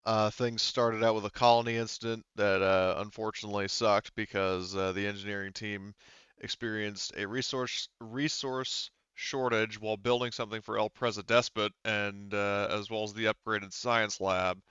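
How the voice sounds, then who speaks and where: Dull-sounding, halting male game master. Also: fairly low pitch, little pitch movement, a bit bright, clear, resonant tone, dry recording. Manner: hesitant, halting phrasing with self-corrections. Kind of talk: narrating a tabletop roleplaying game.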